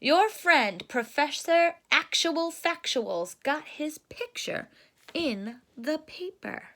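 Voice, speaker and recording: A woman's voice: high-pitched, lively intonation, slow pace, fairly bright tone, voice pushed up, exaggerated voice. A woman voicing a folksy, dismissive father bear from a storybook, in a hearty, blustering and emphatic manner. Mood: cheerful.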